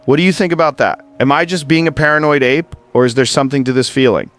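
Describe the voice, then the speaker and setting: A man's voice: mid-range voice, quick pace, a bit bright, soft background music, natural voice. A man playing a laid-back, curious podcast host in an audio drama, talking in a chatty, musing and informal way. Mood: uneasy, musing. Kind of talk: casual podcast interview in a scripted audio drama.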